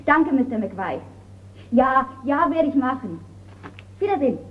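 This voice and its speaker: An actress playing a concerned, probing niece in a radio crime drama. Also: female voice, high voice, sing-song, a little slow, background noise. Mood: businesslike. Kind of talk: German radio drama acting. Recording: tinny, narrow-band radio recording.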